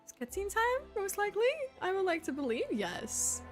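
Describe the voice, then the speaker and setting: A woman livestreamer giving casual reaction commentary on a game, chatty and expressive, often halting mid-thought. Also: very high-pitched. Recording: game music behind the voice. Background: game music.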